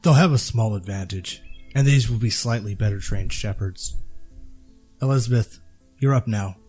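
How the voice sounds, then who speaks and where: A man playing a stern fighter who leads a small squad in an audio drama, terse and husky. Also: on the low side, normal speed, darkish tone, soft background music. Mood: curt, assured. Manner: terse, authoritative.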